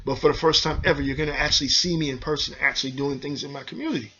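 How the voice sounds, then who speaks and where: A man on a heated vlog rant, talking fast and hard in a somewhat high, tense voice. He preaches and repeats himself, calling out to his viewers directly. The recording sounds dull and lo-fi. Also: steady tone, bright, forceful tone, husky. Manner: heated, preachy, repetitive, addresses viewers as y'all. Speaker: video host.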